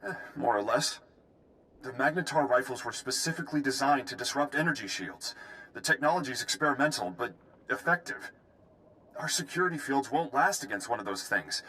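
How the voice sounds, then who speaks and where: Game prison officer, gruff, brisk military delivery, bright forceful tone, mid pitch. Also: male voice, expressive, low-quality recording. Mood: brisk, businesslike. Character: gruff, duty-bound male prison station warden officer. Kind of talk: video game voice acting.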